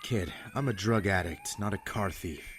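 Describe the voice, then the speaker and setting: Low deadpan voice, someone acting a grumpy sarcastic addict in a zombie audio drama, bit of background noise. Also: normal speed, close to an everyday voice. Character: short, sardonic, irritable drug addict in zombie apocalypse.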